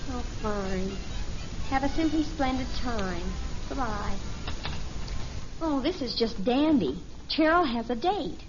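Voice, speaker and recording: An actress playing an earnest, eager teenage daughter in a 1950s radio family sitcom. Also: female voice, a little high, expressive, slow, over music. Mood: exasperated. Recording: muffled, noisy old radio recording.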